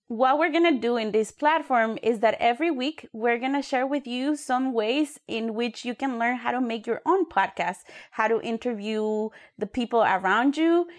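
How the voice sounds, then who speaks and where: A woman from Ecuador co-hosting a youth podcast, chatty and friendly, with fillers. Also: on the high side. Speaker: podcast co-host, youth worker.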